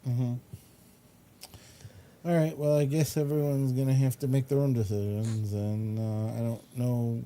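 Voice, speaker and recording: A man's voice: medium pitch, flat delivery, measured pace, mellow. A man hosting a casual talk show, chatting about entertainment in a hesitant, rambling way. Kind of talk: casual chat, reviewing entertainment.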